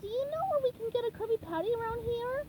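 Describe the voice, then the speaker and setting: A woman voicing an eager, cheerful young male sea sponge in a storybook reading, high and squeaky. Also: quick pace, slight background noise. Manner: squeaky, excited, polite.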